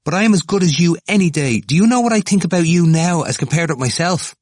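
A man voicing an excitable, boastful young male student in an audiobook, eager and insistent, easily wounded. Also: medium pitch, fairly quick, no room sound, clean studio sound, voice pushed up. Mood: indignant, wounded. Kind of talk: audiobook character voice.

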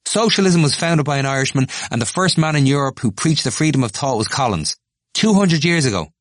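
A man voicing an excitable young male student with a bleating, indistinct voice. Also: mid-range voice, fast, husky, no echo, voice pushed up. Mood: excited.

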